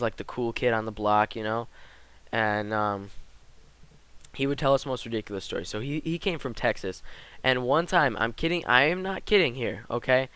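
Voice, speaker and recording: A teenage boy giving casual commentary over gameplay, slightly hoarse from a cold, rambling with lots of fillers. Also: mid-range voice, a bit bright. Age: teens. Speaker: gaming commentator.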